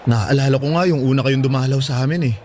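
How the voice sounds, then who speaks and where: A man's voice: medium pitch, fast, a little mellow, a little hiss or hum, close to an everyday voice. A man acting as a blunt, exasperated young man in a radio drama, dropping English slang into his speech. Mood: friendly, teasing.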